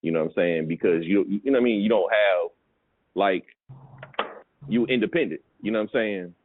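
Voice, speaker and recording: A man's voice: deep voice, brisk, a little hiss or hum. A man coaching in a casual, conversational way, often checking that the listener follows, as in a business advice call. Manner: conversational, repeats check-in questions for understanding. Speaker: pallet business coach advising a caller. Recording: narrow phone-like call audio.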